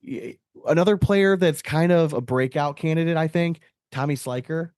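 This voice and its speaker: A man's voice: a little high, moderate pace, a little mellow, smooth, dry recording, studio quality. A man hosting a podcast in casual sports chat, talking in a slangy, opinionated, conversational way. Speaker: podcast host.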